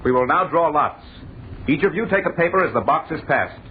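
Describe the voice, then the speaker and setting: An actor playing the terse, authoritative leader of centuries-old conspirators in an old-time radio horror drama. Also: male voice, fairly high pitch, animated, a little fast, slight background noise, lightly acted. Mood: brisk command. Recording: narrow, muffled old radio broadcast.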